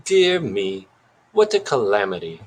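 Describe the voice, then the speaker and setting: A man voicing an eccentric schoolboy who plays a pompous detective in a theatrical, drawn-out mock British accent. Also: fairly low pitch, huge pitch swings, exaggerated voice. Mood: mock dismay.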